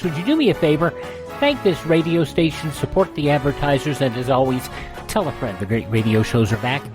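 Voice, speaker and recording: A man's voice: fairly high pitch, a little mellow. A man hosting a radio show, delivering lightly scripted announcements and listener plugs in a presenter's style. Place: dry studio, music behind.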